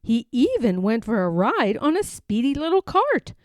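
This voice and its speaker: A woman reading a children's picture book aloud in an expressive, sing-song way. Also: on the high side, moderate pace, dry recording, clean studio sound. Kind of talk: storybook read-aloud.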